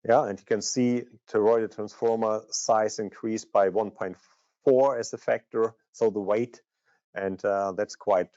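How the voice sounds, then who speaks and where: Low, mellow-voiced man presenting, slightly muffled. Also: fairly even intonation, a little slow, dry recording. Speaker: product manager presenting. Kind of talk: technical product training presentation.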